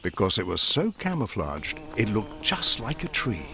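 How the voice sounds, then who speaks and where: A man narrating a rhyming children's bedtime story in expressive, measured verse. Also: on the low side, noisy background.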